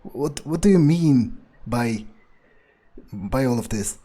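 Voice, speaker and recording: A man improvising in character as a newly turned vampire in a game, bewildered, irritable and foul-mouthed, muttering in halting, incredulous repetitions with a husky voice. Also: mid-range voice, animated, mellow. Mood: incredulous, annoyed.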